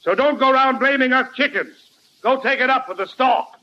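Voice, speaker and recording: A man's voice: very high voice, fairly quick, pushed and bright. A man playing a pompous, hammy poet, declaiming rhymes grandly in 1940s radio comedy style. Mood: grandiose. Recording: dull, hissy old radio recording.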